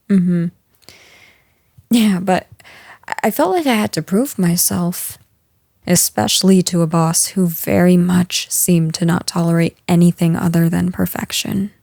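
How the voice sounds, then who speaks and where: A woman playing a caring female boss in an intimate audio roleplay, speaking gently and earnestly with hesitant pauses. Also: lowish voice, fairly even intonation, vocal fry, no room sound, clean studio sound. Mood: reassuring, earnest.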